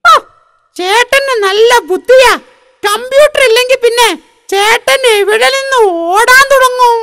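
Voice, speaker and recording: A woman's voice: extremely high pitch, a little slow, a bit bright, heavily acted. A woman voicing a curious, naive little boy in a comic cartoon, asking eager questions. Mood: mock admiring. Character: curious, wide-eyed little boy questioning an older brother.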